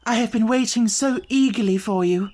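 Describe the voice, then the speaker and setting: Guy putting on a worried polite young lady, earnest, pitched up high, audiobook character. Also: normal speed, darkish tone. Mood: eager, relieved. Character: frightened, anxious young gentlewoman, eager and polite.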